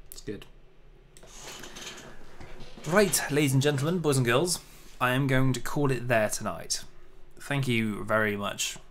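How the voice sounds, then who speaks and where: A man's voice: medium pitch, fairly bright tone. A man chatting casually while livestreaming his 3D modeling work, self-deprecating and prone to little exclamations.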